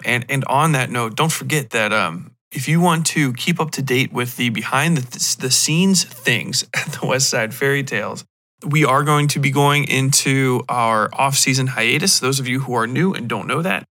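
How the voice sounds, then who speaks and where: A man's voice: mid-range voice, normal speed, bright, forceful tone. A man hosting a solo podcast in a casual, rambling and self-deprecating way, often wandering off on digressions. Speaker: podcast host.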